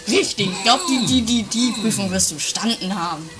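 A woman playing an earnest, slightly pompous instructor who speaks in a halting, placating way in an improvised comic radio play. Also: medium pitch, expressive, music in the background, natural voice. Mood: patient, insistent.

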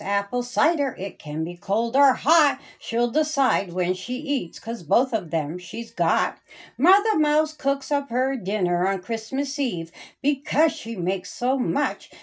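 A woman with a high voice reads a rhyming children's story. She takes it very slowly, with a sing-song lilt and drawn-out phrase endings. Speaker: children's author reading her own story.

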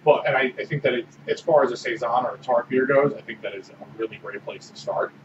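A man chats about a beer on a podcast, reflective and animated. He is picked up in a roomy space from some distance away. A clear, steady hiss sits under a dull recording. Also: mid-range voice, fast talker. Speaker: podcast co-host. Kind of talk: casual beer-tasting chat. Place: small room with orange walls. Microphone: desk mic on boom arm.

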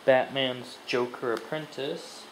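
A collector casually showing off finds, announcing items in short clipped phrases with long pauses. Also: mid-range voice, fairly even intonation, rough recording. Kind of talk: casual comic haul show-and-tell, listing titles. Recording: background noise, amateur camera microphone.

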